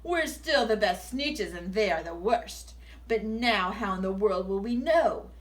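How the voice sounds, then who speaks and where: A woman's voice: medium pitch, lively intonation, measured pace, distant mic, lightly acted. A woman voicing snooty, boastful beaked creatures from a children's storybook in a haughty, declaiming way. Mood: indignant. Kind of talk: animated children's storybook read-aloud.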